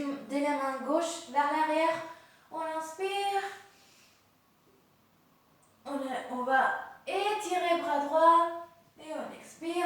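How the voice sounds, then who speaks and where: Yoga teacher lady in her late 20s, soft hesitant cues, slow talker, sounds far off from the mic. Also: high voice. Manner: gentle, hesitant, many fillers and restarts. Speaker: yoga instructor. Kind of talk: guided yoga class. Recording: very echoey room, voice far from mic.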